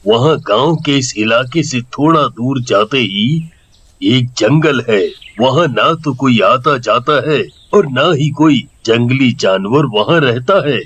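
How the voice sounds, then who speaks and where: A respectful village headman in a horror audio story acted by a man. He talks very slowly at an ordinary male pitch, earnest and deferential. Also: fairly bright tone, breathy edge. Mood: helpful.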